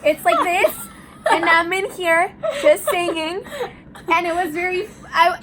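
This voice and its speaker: Giggly high-voiced young woman. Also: steady tone, unhurried. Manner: chatty, giggly, switches into Spanish. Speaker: band lead singer. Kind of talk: casual chat among bandmates. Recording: audible background noise.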